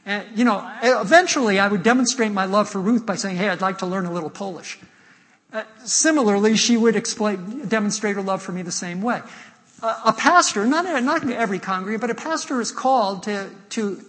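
A man preaching an expository sermon as a pastor, emphatic and deliberate, repeating key points and posing rhetorical questions. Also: high voice, a bit bright. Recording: echoey hall with long reverb.

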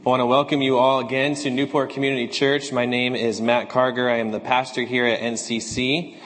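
A slightly hoarse man speaking as a pastor, reading scripture, praying and giving announcements in a measured, earnest way. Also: mid-range voice, flat delivery, a bit bright, long reverb tail. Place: church sanctuary.

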